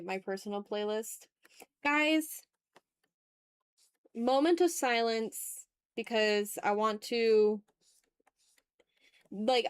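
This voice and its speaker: A woman's voice: mid-range voice, clean tone, no echo. A woman hosting a casual art livestream, chatting in a playful, slangy, exclamatory way while she draws, close to the mic.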